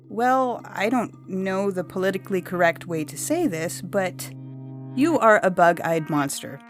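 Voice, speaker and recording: A woman reading a comic story as a chatty, blunt young woman abducted by aliens, with a breezy, emphatic, slangy delivery. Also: medium pitch. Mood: apologetic, blunt. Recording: music under the voice. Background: music.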